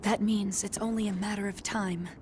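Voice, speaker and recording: A female adviser in a video game cutscene, acted by a woman, with a medium-pitched voice. She is composed and solemn as she cautions, with noticeable noise in the background. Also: fairly even intonation, normal speed, darkish tone, lightly acted. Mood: solemn warning. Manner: composed, serious.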